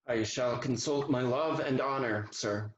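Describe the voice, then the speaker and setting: A man's voice: medium pitch, a little slow, close to an everyday voice. A man playing an earnest, commanding colonel and romantic lover in a theatrical period comedy, recorded with a dull, echoey room sound. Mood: proud.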